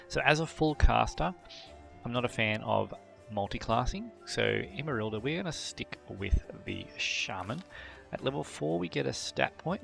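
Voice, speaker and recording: A man hosting a game video, thinking aloud through character choices with frequent fillers and throat clearing. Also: mid-range voice, fairly bright tone. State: voice a bit worn from a long previous session. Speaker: gameplay channel host. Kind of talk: casual gameplay commentary.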